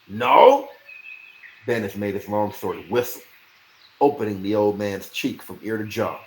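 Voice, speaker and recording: A man reading a fantasy story aloud as an amateur narrator, with a dramatic, expressive delivery. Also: fairly low pitch, moderate pace. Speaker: amateur audiobook reader. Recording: roomy echo, faint background noise.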